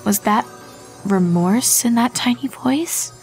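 A woman's voice: fairly high pitch, slow pace. A woman reading a horror story as a scripted narrator. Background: music.

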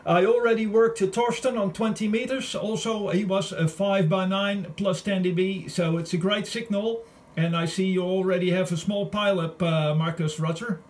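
A man working as an amateur radio operator, chatting casually in a hesitant, halting and friendly way. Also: high voice, steady tone. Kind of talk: casual radio contact chat.

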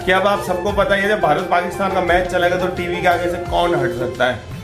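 A man's voice: high voice, steady tone, a little fast. A man in his thirties chatting casually to viewers in a selfie vlog, filmed on a camera held at arm's length, with a jokey, direct way of talking. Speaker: vlogger. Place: shops, outdoor grounds and a home. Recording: loud music and crowd behind.

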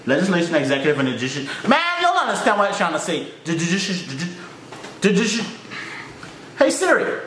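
A man's voice: high voice, big pitch swings, fast, a bit bright. A young man with a Trinidadian accent reacting to a video from a small room, joking and talking back at the screen. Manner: joking, mock-frustrated, talks back at the screen. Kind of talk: casual reaction commentary. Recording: echoey room with faint hiss.

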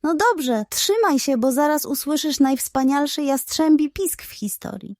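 A woman voicing a naive, proud and excitable hen with a thin squeaky voice in a children's storybook. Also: a little slow, dry recording, studio quality. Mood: boastful.

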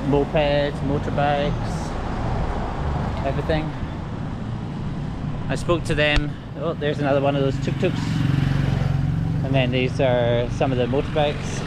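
A man vlogging as he walks outdoors, chatty and upbeat, greeting people as he goes. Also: mid-range voice. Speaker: travel vlogger. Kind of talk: casual walking vlog narration. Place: outdoors on a busy street. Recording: street traffic noise behind.

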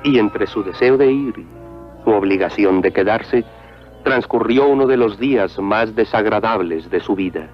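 A man's voice: on the low side, moderate pace, soft background music, lightly acted. A man playing a grave, sympathetic narrator, reading scripted storybook narration for a cartoon. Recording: muffled old cartoon soundtrack.

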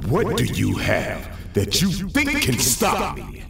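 Menacing creaky monster, grandiose horror-drama villain, drenched in echo, distant and noisy. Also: male voice, fairly high pitch, huge pitch swings, a bit bright, heavily acted. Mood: wrathful. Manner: slow, grandiose, ominous pronouncements. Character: menacing unkillable male monster, slow and wrathful. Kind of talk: audio horror drama acting. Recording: noisy, heavily echoing, distant sound.

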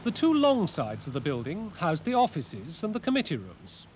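A man giving scripted, scholarly documentary narration in a measured way, with wry asides. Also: a little high, huge pitch swings, darkish tone, a little hiss or hum. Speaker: presenter, art and architecture lecturer. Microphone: voice-over narration.

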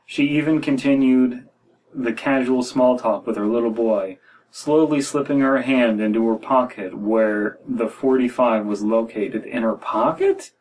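A man's voice: mid-range voice, unhurried, a little mellow, poor audio. An American man in his thirties reading a horror story aloud as a podcast co-host, with wry, critical asides. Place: small room indoors.

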